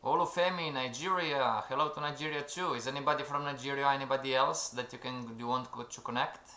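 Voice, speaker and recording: A man leads a live-streamed trading lesson, often calling out to "everybody", checking in with "okay?" and reading out the chat. His voice sits in an ordinary middle pitch. The sound is roomy and echoey, with keyboard typing in the background. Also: steady tone, fairly quick, pushed and bright. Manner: keeps saying "everybody" and "okay?", reads out the chat. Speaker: trading instructor. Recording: roomy echo.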